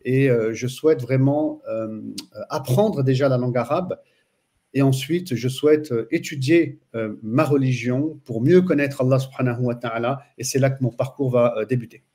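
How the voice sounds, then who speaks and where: A man in his forties from France, a teacher telling his story as a guest in a relaxed interview, pausing often with fillers. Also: medium pitch. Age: 40s. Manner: hesitant, frequent pauses and fillers. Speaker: guest, Islamic studies teacher. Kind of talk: casual interview chat.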